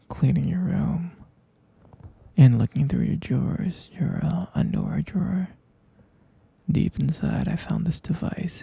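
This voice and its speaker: A man playing a mature stepfather in an ASMR roleplay, speaking in a breathy, husky half-whisper with a hesitant, confiding and concerned manner. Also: fairly high pitch, warm tone, dry recording, heavily acted. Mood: hushed concern.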